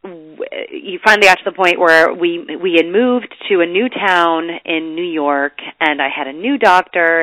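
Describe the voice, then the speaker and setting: A woman's voice: deep voice, a bit bright, dry recording, poor audio. A woman in her thirties with a stuffy cold, chatting as a guest in a podcast interview. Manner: chatty, laughs lightly. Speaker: guest chef and food writer. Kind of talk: casual interview.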